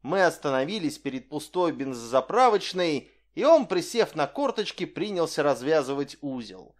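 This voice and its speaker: Man narrating an audiobook, kinda higher voice, calm steady read. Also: moderate pace. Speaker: audiobook reader.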